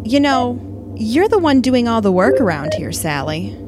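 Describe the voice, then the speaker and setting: A woman's voice: a little high, very animated, unhurried, background noise. A woman playing a scheming, sarcastic, pushy human in an audio play, out for her own interests. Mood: sly, needling. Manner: sardonic, pushy.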